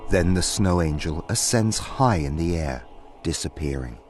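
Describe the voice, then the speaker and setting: A deep-voiced man narrates a fantasy tale like a dramatic storyteller. His pitch moves around expressively, and soft music plays underneath. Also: expressive, a little slow. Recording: music behind.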